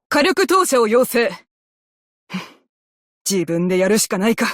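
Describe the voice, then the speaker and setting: A woman's voice: on the high side, no echo, clean studio sound. A composed, formal female naval officer. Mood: cold, contemptuous. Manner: formal, measured, military politeness, stern in commands. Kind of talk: scripted game character voice lines in Japanese.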